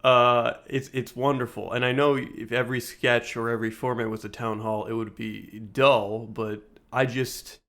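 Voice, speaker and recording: A man's voice: medium pitch, level tone, moderate pace, hardly any pauses, fairly bright tone. A man co-hosting a podcast in loose, casual conversation with a dry joking manner. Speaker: podcast co-host. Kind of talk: casual chat.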